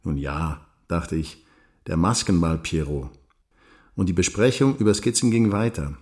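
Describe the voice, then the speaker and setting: A wronged husband and prosecutor in a radio play. His voice is very deep, and he recounts things in a measured, brooding, self-aware manner. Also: a little mellow. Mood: wry recollection. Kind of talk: first-person recollection in radio drama.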